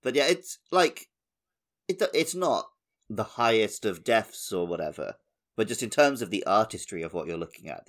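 Man, lowish pitch, casual gaming podcast co-host, wry, conversational delivery. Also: fairly bright tone.

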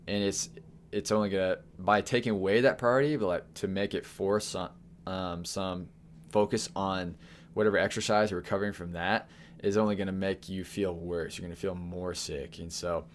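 A young man hosting a podcast, talking casually with lots of fillers and restarts. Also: low-pitched. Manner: rambling, frequent fillers and restarts. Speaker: podcast host. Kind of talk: casual solo podcast talk.

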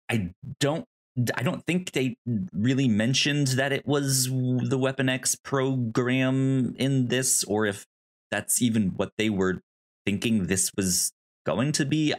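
A man hosting a podcast in a casual discussion, speaking hesitantly and often stammering over his words. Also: medium pitch. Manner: hesitant, stammering, halting delivery. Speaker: podcast host.

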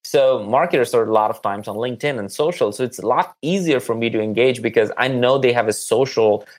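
A man's voice: medium pitch, a little fast. A man speaking as a marketing guest in an interview, conversational and earnest, repeating words for emphasis.

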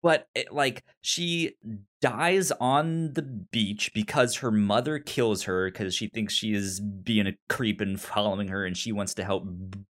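A man's voice: lowish voice, a bit bright. Stuttering man chatting on a podcast. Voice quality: stutter, repeating sounds and words. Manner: halting, searching for words. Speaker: podcast co-host. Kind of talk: casual chat discussing a TV series.

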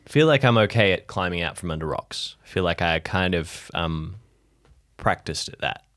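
A man playing a guilt-ridden, introspective friend in an improvised audio drama, opening up in halting, repeated phrases. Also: low-pitched, animated, a bit bright, dry recording, studio quality. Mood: reflective, weary.

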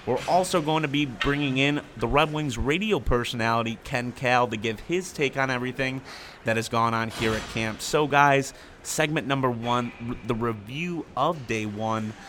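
Young male sports radio host, middling pitch, scripted report delivery, ambient noise behind. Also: normal speed, fairly bright tone. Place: on location at hockey training camp arena.

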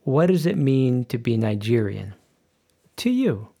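Podcast host, man, slow and deliberate, gravelly, lively pitch, teasing interviewer. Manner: slow, emphatic, playful questioning. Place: Berlin.

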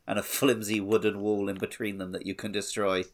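A man with a fairly low voice chats as a podcast co-host. His delivery is easygoing and conversational, like someone casually talking a friend through a game. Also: little pitch movement, brisk.

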